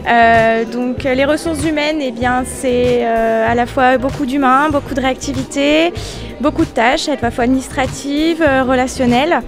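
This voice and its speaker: A woman with a slightly high voice talks haltingly at an indoor fair stand. A handheld recorder catches her, with loud music behind. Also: fairly even intonation. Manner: hesitant, many fillers. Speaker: human resources worker. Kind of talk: interview at a trade fair stand. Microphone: handheld recorder held by interviewer.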